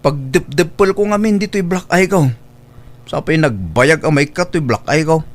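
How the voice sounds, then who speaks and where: A man's voice: a little high, animated, normal speed, lightly acted. Whiny, hapless young Ilocano suitor played by a man. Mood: impatient whining. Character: hapless, stubborn hard-drinking young suitor, whiny with his mother. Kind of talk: Ilocano comedy audio drama acting.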